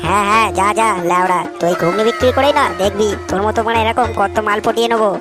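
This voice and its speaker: A woman's voice: mid-range voice, quick pace, over loud music, lightly acted. A woman voicing a cocky, foul-mouthed young male street hawker in a comic cartoon, with a West Bengal Bengali accent and a brash, slangy manner. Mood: scornful.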